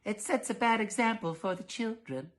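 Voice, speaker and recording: A man voicing a dull, anxious, stern storybook mother who talks in a prim, drawn-out way. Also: sits high, fairly bright tone, voice pushed up.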